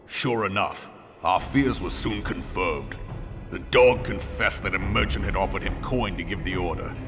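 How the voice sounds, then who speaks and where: An actor playing a stern, seasoned male general who speaks gravely in formal, old-fashioned phrasing, in a game cutscene. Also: sits low, moderate pace, fairly bright tone. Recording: music score underneath.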